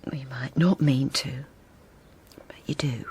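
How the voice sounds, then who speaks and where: A woman acting in a radio drama as a middle-aged English wife, wistful and quietly defiant, halting and often dropping to a whisper. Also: very deep voice, fairly even intonation, creaky, breathy edge, heavily acted. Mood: hushed, hurt. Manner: halting, repeats phrases, often drops to a whisper. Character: weary long-married wife newly wistful and quietly defiant. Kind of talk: radio drama acting, intimate dialogue and narration.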